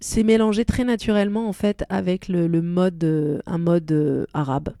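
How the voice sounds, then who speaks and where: A woman's voice: fairly low pitch, fairly even intonation, moderate pace, dark tone, dry recording, studio quality. A woman whose first language is Arabic, a singer-songwriter talking thoughtfully about her music in an interview, often restarting as she looks for the right words. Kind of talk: relaxed interview chat.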